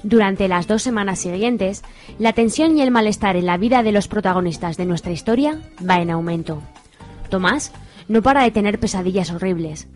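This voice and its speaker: A woman from narrates a radio soap, steady and measured. Her pitch sits mid-range. Light music plays underneath. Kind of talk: scripted radio drama narration.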